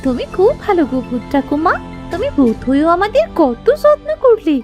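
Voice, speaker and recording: A woman's voice: very high-pitched, very animated, moderate pace, a little mellow, soft background music. A woman voicing a sweet, eager little girl, affectionate and pleading, in a children's cartoon.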